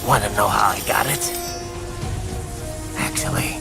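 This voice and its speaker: A grim, bloodthirsty cyborg swordsman speaks low and gravelly, slow and brooding, with wide swings up to savage outbursts. Loud game music runs beneath him. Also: wildly swooping pitch, full character voice. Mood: taunting. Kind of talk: video game cutscene acting.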